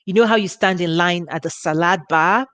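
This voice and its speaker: Nigerian woman giving a relaxed talk. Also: on the low side, fairly quick, no echo. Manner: conversational, with frequent restarts and laughs. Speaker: ministry host. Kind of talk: informal teaching talk.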